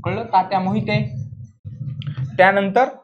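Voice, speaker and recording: A man's voice: high voice, steady tone, a little slow, highs cut off, faint music behind. A man with a Maharashtrian accent explaining exam questions like a classroom coach, repeating key points emphatically and often checking that students follow. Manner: repetitive, emphatic, frequently checking understanding with questions.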